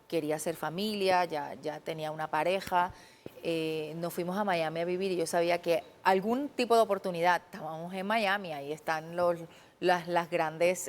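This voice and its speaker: A woman in her thirties with a Puerto Rican accent, a TV journalist telling her story earnestly as a guest in a studio interview. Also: lowish voice. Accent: Puerto Rican Spanish. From Puerto Rico. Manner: earnest, animated, quotes her own past thoughts.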